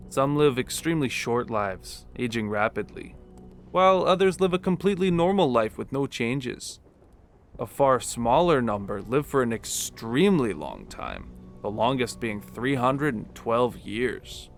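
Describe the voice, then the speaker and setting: A man's voice: mid-range voice, very animated, measured pace, slight background noise. Man voicing a cheeky, wry soldier.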